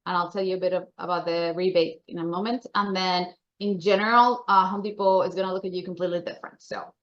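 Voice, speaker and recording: A woman's voice: fairly low pitch, fairly even intonation, quick pace, fairly bright tone, dull audio. A woman with a Colombian accent giving informal, chatty, upbeat announcements to members at a meeting. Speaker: membership association staffer presenting member announcements.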